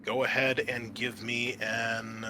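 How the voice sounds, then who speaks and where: A man narrating a tabletop horror game as game master, pausing often as he describes the scene. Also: mid-range voice, flat delivery, bright, forceful tone, faint music behind.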